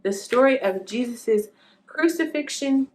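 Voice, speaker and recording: Slow-paced woman reading a children's storybook. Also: on the high side, lively intonation, a little mellow, slight background noise, rough recording. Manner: unhurried storytelling, addressing young listeners as family. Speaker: children's read-aloud host. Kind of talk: expressive storybook narration for children.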